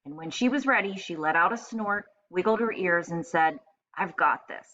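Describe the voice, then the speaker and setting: Low-pitched woman teacher reading aloud. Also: moderate pace, a bit bright. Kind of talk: read-aloud for children with explanations. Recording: slightly muffled, faint background noise.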